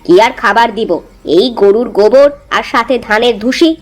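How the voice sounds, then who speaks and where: A woman's voice: on the high side, moderate pace, lightly acted. A woman playing a sensible elder sister-in-law in a village cartoon, scolding and admonishing. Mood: dry, admonishing. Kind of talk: cartoon voice acting.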